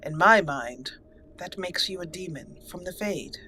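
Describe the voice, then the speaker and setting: Bright-voiced woman narrating a roleplay game. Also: fairly low pitch, lively intonation, measured pace, a little hiss or hum. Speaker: gaming channel host. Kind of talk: roleplay let's play narration.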